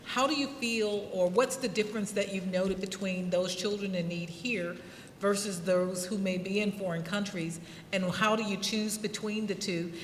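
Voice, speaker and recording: A woman judging a competition, giving encouraging feedback and asking deliberate, wordy questions. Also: medium pitch, steady tone. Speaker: competition judge. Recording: echoey hall, faint noise.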